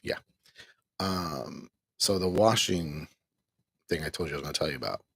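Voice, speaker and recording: A man's voice: rumbling low, moderate pace, gravelly, fairly bright tone, husky. A tired man hosting an online ministry show, telling a candid, rambling personal story from home with frequent laughs. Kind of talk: casual personal testimony.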